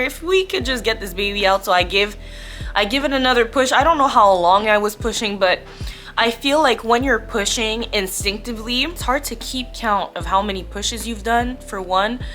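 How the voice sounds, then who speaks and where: A young woman vlogger with a bright, forward voice tells a personal story casually. She is candid and chatty, leaning on words for emphasis. Soft music plays behind her. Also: fairly high pitch. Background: background music.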